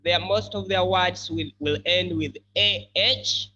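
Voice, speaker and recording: A man teaching an English class, explaining deliberately and repeating key words. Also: high voice, pushed and bright, muffled recording. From country formerly colonized by the UK.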